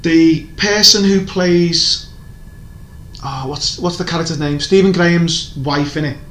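A man's voice: fairly high pitch, unhurried, fairly bright tone. A man chatting casually as a podcast co-host, rambling and opinionated, with lots of restarts. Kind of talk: casual chat reviewing TV shows. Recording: echoey room, faint background noise.

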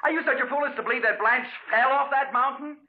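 An actor playing an unhinged, coldly polite murderous husband and obsessive suitor in an old-time radio thriller, halting and full of menace. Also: male voice, very high-pitched. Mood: bitter, obsessive. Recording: tinny, noisy vintage radio recording.

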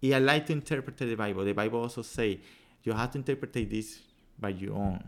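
A man from Venezuela, speaking English with a Venezuelan Spanish accent, earnestly explaining his ideas in halting English with many restarts, chatting as a podcast guest. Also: on the low side. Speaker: yoga teacher, podcast guest. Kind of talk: casual podcast chat.

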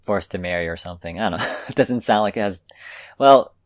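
A man's voice: on the low side, brisk, dry recording, clean studio sound. A man co-hosting a podcast, making laid-back, offhand remarks in casual chat. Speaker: podcast co-host.

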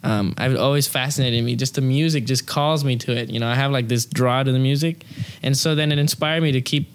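A young man talking about his singing in a relaxed interview. His voice sits at an average male pitch. He rambles and keeps dropping "you know" and "um" between thoughts. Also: fairly quick, slight background noise. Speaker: opera singer, interview guest. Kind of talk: casual conversational interview.

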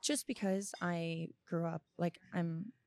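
A woman's voice: lowish voice, fairly even intonation, slow, a little mellow, no echo. A 22-year-old woman from Florida who also grew up abroad, talking candidly and reflectively as a guest in a casual interview. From Florida, also grew up in Scotland and Costa Rica. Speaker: podcast guest.

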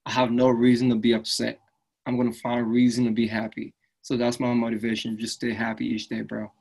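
A man's voice: medium pitch, monotone, brisk, gravelly, darkish tone, husky. A man from Liberia, speaking earnestly and upbeat as an interview guest, often tagging lines with "right?". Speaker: fitness business owner, guest. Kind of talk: casual interview conversation.

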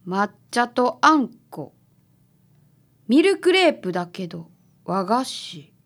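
A woman acting as a bright, assertive confectioner of about thirty in a radio drama, with a Kansai accent. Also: a little high, big pitch swings, slow pace, exaggerated voice. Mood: suspicious.

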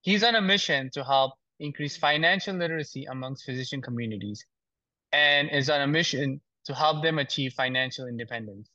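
A man's voice: mid-range voice, fairly quick, pushed and bright, no room sound, muffled recording. A man hosting a podcast, introducing his guest and affirming him often. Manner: encouraging, frequent short affirmations. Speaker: podcast host. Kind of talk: interview hosting.

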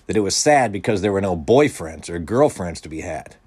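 A man voicing an excitable young orphan boy with a piping, exclaiming delivery in a storybook reading. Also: deep voice, fairly quick, slightly rough, no room sound. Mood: teasing. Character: boyish, exuberant orphan boy who adores jungle adventure.

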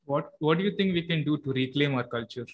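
A man's voice: on the high side, fast. A man hosting a podcast, asking questions in a casual, agreeable way. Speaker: podcast host. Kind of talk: conversational interview.

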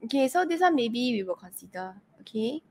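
Woman podcast co-host, slightly high voice, brisk and teasing, chatty particles at the end of lines. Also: very animated, darkish tone, a little hiss or hum. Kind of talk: casual podcast chat.

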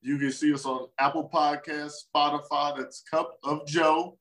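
Teasing man with a slightly high voice. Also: flat delivery, a bit bright. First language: Spanish. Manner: teasing, playful, quick comic asides. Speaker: podcast guest. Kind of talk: joking podcast banter.

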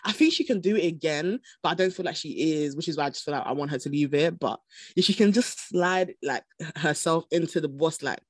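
A woman with a deep voice, chatting casually and laughing often on a podcast. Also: brisk, dry recording, studio quality. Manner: animated, laughs often, slangy. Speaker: podcast guest. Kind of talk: casual chat.

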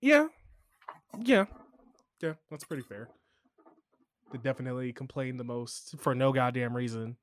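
A man from Chicago hosting a casual podcast chat, animated and swearing, his voice leaping high when riled. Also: a little slow, long pauses. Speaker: podcast host.

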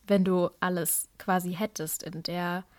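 A woman's voice: lowish voice, steady tone, a little slow, a little mellow, no echo. A woman co-hosting a podcast, musing thoughtfully about longing and identity with casual fillers. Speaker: podcast co-host.

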